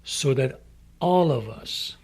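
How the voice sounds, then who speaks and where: A middle-aged man from Iran with an Iranian accent, speaking English as a second language, making a slow, earnest inspirational appeal. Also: medium pitch, lively intonation, a little mellow, husky. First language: Farsi. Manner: slow, deliberate, emphatic phrasing. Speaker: speaker addressing young people.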